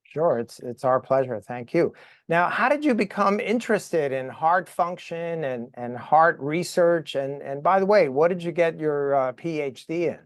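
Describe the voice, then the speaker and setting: A man hosting a podcast interview, speaking in a measured way with frequent "uh" fillers. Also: a little high, animated, no echo, studio quality. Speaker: podcast host. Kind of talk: interview, reading a guest introduction.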